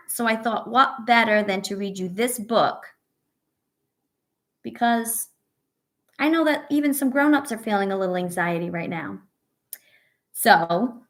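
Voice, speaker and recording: Woman, fairly high voice, teacher, children's story read-aloud, encouraging asides, questions to the listener. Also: fairly bright tone. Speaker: teacher reading a picture book to children.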